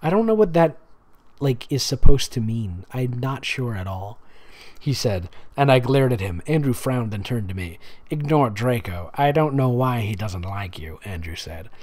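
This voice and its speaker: Male reader-commentator, mid-pitched and very animated, sardonic and conversational. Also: normal speed. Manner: amused, sarcastic, breaks off to comment. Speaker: host reading fan fiction aloud with commentary. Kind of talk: dramatic reading with casual mocking asides.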